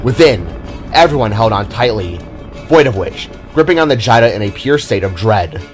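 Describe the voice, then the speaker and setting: A man's voice: fairly low pitch, lively intonation, normal speed, faint music behind. A man narrating a scripted audio drama in a dramatic storytelling manner.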